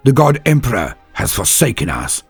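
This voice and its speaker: A man doing a barking, gruff male officer. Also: medium pitch, big pitch swings, moderate pace, faint music behind, pitched above the performer's own voice, heavily acted. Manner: barking, snapping orders. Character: gruff male executive officer, hard-bitten veteran.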